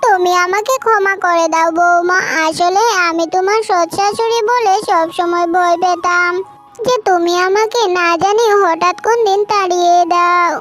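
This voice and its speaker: A woman's voice: extremely high pitch, steady tone, normal speed, a bit bright, soft background music. A woman voicing an older, bossy, suspicious stepmother-in-law bird in a cartoon story, with a scolding, nagging manner. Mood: remorseful. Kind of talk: Bengali cartoon story acting.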